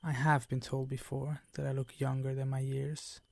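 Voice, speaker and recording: A man voicing a suave, predatory vampire count in an intimate ASMR roleplay, speaking formally with drawn-out, condescending, teasing courtesy. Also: medium pitch, flat delivery, creaky, a little mellow, no echo. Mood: flattering, teasing.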